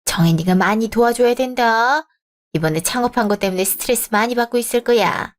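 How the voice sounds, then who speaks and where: A pushy middle-aged mother-in-law-to-be, voiced by a woman in a radio drama, with a raised, higher-pitched voice. She is blunt and patronizing, a mother who looks down on her son's bride. Her apologies come out stiff. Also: a little fast, natural voice. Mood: condescending.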